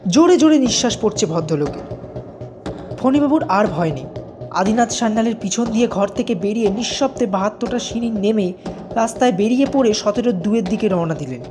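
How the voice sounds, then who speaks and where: A man narrating an audio story in a dramatic, suspenseful and expressive way. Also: high voice, brisk. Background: background music.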